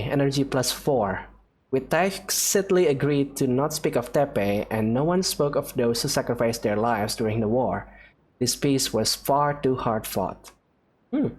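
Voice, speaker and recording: A woman's voice: rumbling low, darkish tone. A woman hosting a gaming video, casually reading dialogue aloud and commenting, with game music behind her.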